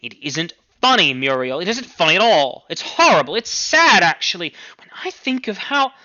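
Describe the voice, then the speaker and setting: A man's voice: sits high, sing-song, moderate pace, pushed and bright, no echo, muffled recording, voice pushed up, full character voice. A man voicing an anxious, overwrought middle-aged mother in an audiobook story, fretful and emphatic as she scolds. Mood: alarmed, scolding.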